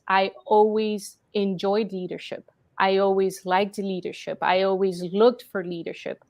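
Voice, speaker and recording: Mid-pitched woman from Colombia, earnest. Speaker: guest, marketing company CEO. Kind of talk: personal story told in interview.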